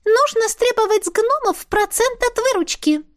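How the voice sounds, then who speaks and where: A woman's voice: very high voice, moderate pace, pitched above the performer's own voice. A woman voicing a small, bossy female spirit who talks in a businesslike, indignant way. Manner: businesslike, indignant, hissing when alarmed.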